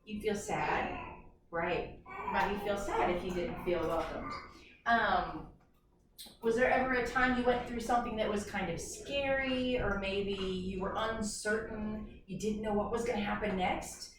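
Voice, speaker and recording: A woman's voice: medium pitch, fairly even intonation, measured pace. A woman teacher leading an interactive read-aloud for young children, asking lots of questions and prompting them. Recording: very echoey room, far mic, loud noise.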